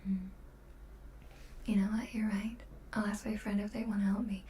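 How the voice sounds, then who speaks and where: A woman livestreaming ASMR, chatting playfully to viewers in a breathy whisper, close to the mic. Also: mid-range voice, level tone, moderate pace, dark tone, slight background noise.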